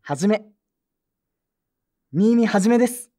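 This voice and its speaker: A woman's voice: medium pitch, lively intonation, unhurried, mellow. A woman playing an earnest, polite teenage boy in a Japanese audio drama. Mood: heartfelt. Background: background music.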